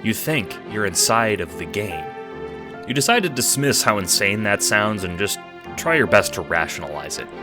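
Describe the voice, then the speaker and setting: A man narrates an audio drama in a low, animated voice. His storytelling is wry and dramatic, addressed to the listener in the second person. Music plays behind him. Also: normal speed. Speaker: narrator and producer.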